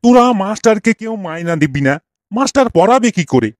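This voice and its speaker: A man acts out a cranky old schoolmaster from a comedy cartoon. His voice sits fairly high for a man and is recorded close and dry. He rants and scolds in exasperation, piling up theatrical rhetorical questions. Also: very animated, moderate pace, dry recording. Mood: irritated. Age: older. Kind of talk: comic cartoon dialogue.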